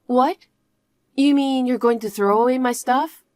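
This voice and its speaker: A woman's voice: sits high, measured pace, a little mellow, no room sound, clean studio sound. A woman voicing a young working wife who protests against her in-laws. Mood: protesting. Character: young working wife, composed but wronged. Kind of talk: one-voice drama reading.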